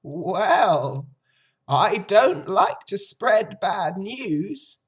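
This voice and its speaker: A snappish old ewe from a storybook, acted by a woman, pitched high and pushed out firmly. She is blunt, grimly knowing and matter-of-fact. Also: sing-song, extremely slow, husky, heavily acted. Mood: smug. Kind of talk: storybook character voice.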